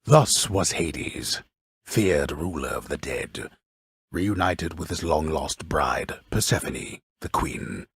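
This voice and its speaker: An actor playing a grave, grandiose storyteller in a video game, narrating an underworld myth in a solemn, measured, drily wry way with a gravelly, husky voice. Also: male voice, very deep voice, expressive, dry recording, clean studio sound, heavily acted. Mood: solemn, stately.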